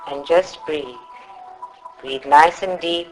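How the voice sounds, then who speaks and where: A woman from South Africa leading a guided meditation in a soothing, instructive manner, with long pauses between short phrases. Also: sits low, over music, poor audio. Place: live group meditation session at a centre.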